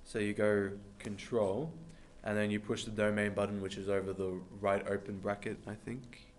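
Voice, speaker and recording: A man's voice: lowish voice, little pitch movement, normal speed, slight background noise. A male student demonstrating a calculator function to his class in an offhand, plain way, with fillers.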